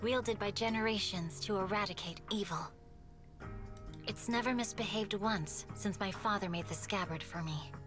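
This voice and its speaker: A woman's voice: medium pitch, normal speed, over music, natural voice. An actress playing a stoic, proud young noble swordswoman in an anime-style video game, composed, clipped and serious. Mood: solemn.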